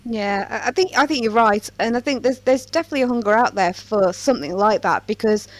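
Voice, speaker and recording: A woman's voice: a little high, brisk. A woman hosting a podcast, chatting casually in a playful, self-aware way. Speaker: podcast host.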